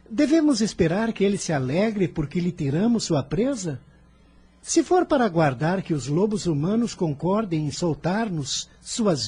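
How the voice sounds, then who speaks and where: A man's voice: high-pitched, dark tone, breathy edge, full character voice. A man playing an earnest, devoted adolescent son in a religious radio drama, speaking in a solemn, formal, impassioned way.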